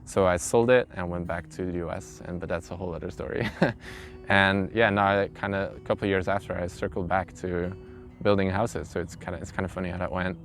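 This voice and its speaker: Low-pitched young man. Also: fairly even intonation, fairly quick. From Belgium. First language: not English. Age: early twenties. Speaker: interviewee, carpenter. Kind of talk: informal interview, telling own story. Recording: clear background noise, ambient sound.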